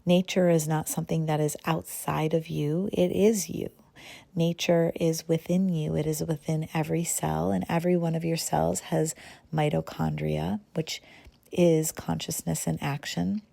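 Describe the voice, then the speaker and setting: A woman leading a guided meditation as host, speaking gently and unhurriedly in short phrases with pauses between them. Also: low voice, little pitch movement, vocal fry. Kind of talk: guided meditation, slow soothing narration.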